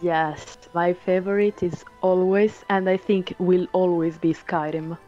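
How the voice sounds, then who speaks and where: A woman's voice: lowish voice, steady tone, measured pace, mellow. A woman from Italy with an Italian accent, chatting casually as a guest in an interview. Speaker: podcast guest, violinist. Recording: dull lo-fi call, music underneath. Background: music.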